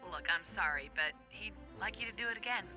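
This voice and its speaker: Game session director: polite, coaxing, harried, very bright mid-pitched voice. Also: female voice, expressive, a little fast, faint music behind. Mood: patient, coaxing. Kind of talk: video game character acting.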